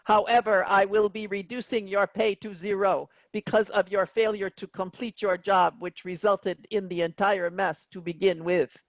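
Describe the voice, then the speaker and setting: An actor playing a stern, sardonic autopilot computer captain, speaking in a measured, formal deadpan in a sci-fi comedy reading. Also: mid-range voice, no room sound, lightly acted, low-quality recording.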